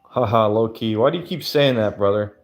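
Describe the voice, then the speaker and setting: A man's voice: fairly low pitch, fairly quick, soft background music. A man livestreaming and answering chat in a loose, rambling way, full of fillers. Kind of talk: casual chat.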